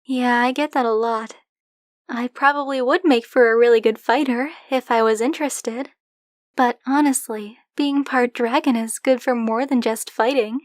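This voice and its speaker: A woman's voice: fairly high pitch, steady tone, moderate pace, no echo, clean studio sound, natural voice. A woman playing a possessive, affectionate dragon-blooded librarian in an ASMR roleplay, speaking coyly and candidly to the listener in a teasing, conversational way. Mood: chatty, self-assured. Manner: coy, teasing, conversational, fond pet names.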